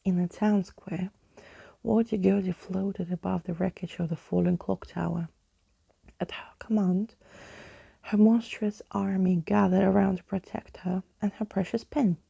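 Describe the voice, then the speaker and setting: A woman reading a storybook as ASMR, in a soft, breathy, gently hushed voice that slips into whispering. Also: medium pitch, no echo. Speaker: ASMR reader, narrator.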